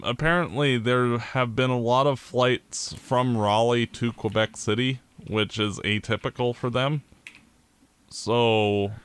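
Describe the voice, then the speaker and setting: A Canadian man co-hosting a podcast, giving blunt opinions in casual sports chat, with halting restarts. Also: lowish voice, a bit bright, clear, resonant tone. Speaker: podcast co-host.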